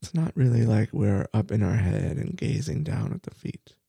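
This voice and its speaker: A man who is a meditation teacher, leading a guided body scan for sleep with slow, soft, soothing phrasing that repeats itself. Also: fairly low pitch, expressive, creaky, slightly rough, no echo.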